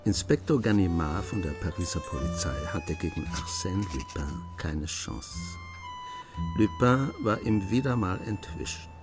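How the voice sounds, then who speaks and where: An actor playing a writer who narrates a radio play in a dramatic, intimate manner, savouring his pauses. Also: low voice, expressive, over loud music. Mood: brooding, suspenseful. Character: male writer-narrator, absorbed admirer of a gentleman thief.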